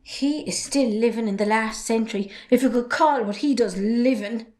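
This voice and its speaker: A cheeky, outspoken young Irishwoman , acted by a woman, with a high voice. She is a farmer's daughter in drama dialogue, defiant and sardonic, holding her ground. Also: moderate pace, noisy background, natural voice. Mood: frank.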